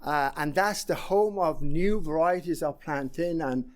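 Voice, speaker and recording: Man in his sixties, Iranian accent, higher voice, halting conference talk. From Iran. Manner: halting, many fillers and restarts. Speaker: conference speaker. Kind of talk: conference presentation.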